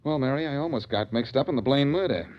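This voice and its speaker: An actor playing a cool, confident, wry amateur sleuth in a 1940s radio drama. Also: male voice, mid-range voice, lively intonation, fast talker, natural voice. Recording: muffled old radio broadcast.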